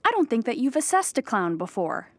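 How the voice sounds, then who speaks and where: An actress playing an anxious, childlike clown who rambles earnestly in a theatrical audio comedy monologue. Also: female voice, a little high, sing-song, fairly quick, no room sound. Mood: indignant. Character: anxious, childlike clown pleading at an appeal hearing.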